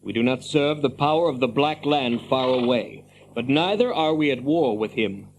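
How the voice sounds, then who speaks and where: An actor playing a stern, wary captain of horsemen in a fantasy radio drama, speaking formally and with measure. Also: male voice, mid-range voice, lively intonation, normal speed, a little hiss or hum, natural voice, low-quality recording. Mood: challenging. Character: stern, wary horse-lord captain, loyal to his king.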